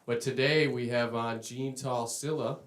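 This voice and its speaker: A male host of a casual sports talk show speaks at an ordinary middle pitch. He is unhurried and relaxed. He fills his pauses with "uh" and "um". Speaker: podcast host.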